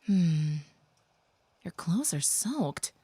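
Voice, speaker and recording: A woman voicing a gentle, protective young female vampire in an audio roleplay, speaking softly and reassuringly, sometimes whispering. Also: on the low side, big pitch swings, very slow, breathy edge, no echo, exaggerated voice.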